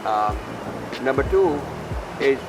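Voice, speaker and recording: A man speaks at a middle pitch with loud music running beneath him. He sounds like an executive in an interview, setting out points one by one in an earnest, deliberate way. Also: expressive, low-quality recording. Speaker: company executive being interviewed. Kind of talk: interview, explaining at length. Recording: music laid under the voice. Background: background music.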